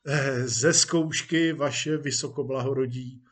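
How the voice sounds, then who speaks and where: A man's voice: on the high side, animated, breathy edge, pitched above the performer's own voice. A man voicing a polite, obliging theatre actor in an audiobook story, speaking with a hesitant, obsequious manner. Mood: humbly polite. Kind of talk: acted audiobook dialogue.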